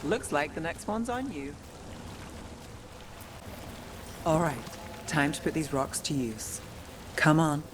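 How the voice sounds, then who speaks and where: A woman's voice: low voice, animated, unhurried, noisy background. A woman voicing a weary, guarded detective with dry, understated wit in a fantasy video game. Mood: wry, teasing. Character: weary, wry, guarded young female detective in a fantasy city.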